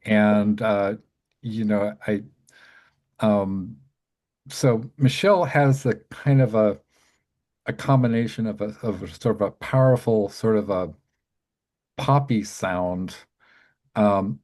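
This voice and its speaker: A middle-aged man hosting an interview show, talking in a rambling, halting way with many restarts and fillers. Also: lowish voice, darkish tone. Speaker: podcast host and music journalist. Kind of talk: casual interview.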